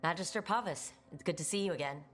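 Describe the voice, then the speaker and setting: A woman's voice: fairly low pitch, fast talker, a bit bright, lightly acted. A woman plays a young rebel adventurer in a fantasy game, earnest and plain-spoken with a wry edge. The sound is reverberant, with music behind. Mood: grateful, curious. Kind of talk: natural game dialogue. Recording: roomy reverb, music underneath.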